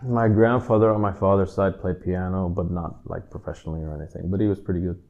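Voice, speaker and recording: A man in his thirties from the Netherlands, a drummer answering interview questions in a relaxed, rambling way with many asides. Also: deep voice, fast, creaky, dull audio. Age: 30s.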